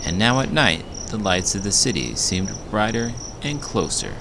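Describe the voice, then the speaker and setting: A man reading a children's storybook aloud in an expressive, dramatic, emphatic narrating style. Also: lowish voice, moderate pace, gravelly, background noise. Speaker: children's book reader, elementary school teacher. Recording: music behind the voice. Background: prominent music.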